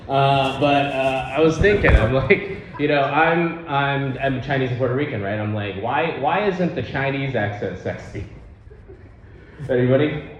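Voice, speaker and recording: A man's voice: mid-range voice, a little slow, heavy reverb, slight background noise, low-quality recording. A man doing a stand-up comedy set in a rambling, profane, conversational way in a live venue. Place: live comedy show, on stage.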